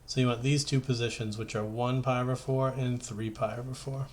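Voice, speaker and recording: A man's voice: medium pitch, fairly even intonation, fairly quick, a little mellow. A male teacher with a mid-range voice talks through worked math problems in an informal way. He is conversational and thinks aloud, checking and correcting himself as he explains. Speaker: high school math teacher.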